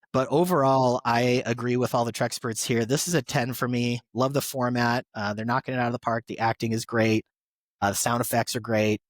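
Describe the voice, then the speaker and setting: A man's voice: mid-range voice, no echo. A man chatting casually and enthusiastically as a podcast panelist. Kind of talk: casual chat.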